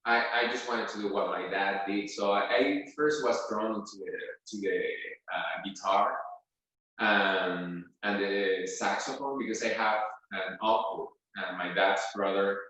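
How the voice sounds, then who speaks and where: A man's voice: lowish voice, measured pace, sharp tone, very echoey, distant mic, poor audio. A man from Venezuela, a guest flute player, answering interview questions at home with many fillers and restarts. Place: his home.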